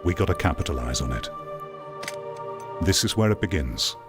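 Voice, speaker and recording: A man giving opinion commentary on VR gaming in an emphatic, deliberate way, repeating phrases for weight. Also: rumbling low, lively intonation, fairly bright tone. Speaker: VR gaming video creator. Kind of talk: opinion commentary over gameplay. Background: music.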